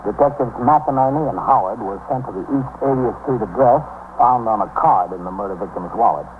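An actor playing a methodical American police captain, narrating a 1950s radio drama in a measured, official way. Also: male voice, mid-range voice, a little hiss or hum, close to an everyday voice. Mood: sober, factual. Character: sober, methodical male police precinct captain. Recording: tinny, humming 1950s radio recording.

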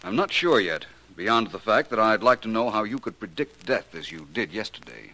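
Smooth old-time radio detective, deep bright voice, polite but pointed questions. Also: male voice, very animated, moderate pace, full character voice, rough recording. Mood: probing. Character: suave, probing gentleman detective. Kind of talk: 1940s radio acting.

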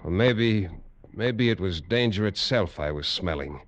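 An actor playing a tough American detective in an old radio drama, narrating in clipped, hard-boiled lines. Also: male voice, low voice, lively intonation, measured pace, faint music behind. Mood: tense. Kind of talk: 1950s radio drama acting. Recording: dull old radio recording.

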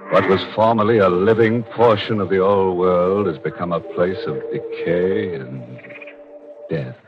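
A man's voice: sits low, unhurried. A man hosting and narrating an old radio mystery show in a theatrical, tongue-in-cheek macabre manner. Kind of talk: 1940s radio horror hosting, scripted narration. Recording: dull lo-fi 1940s radio recording. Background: music.

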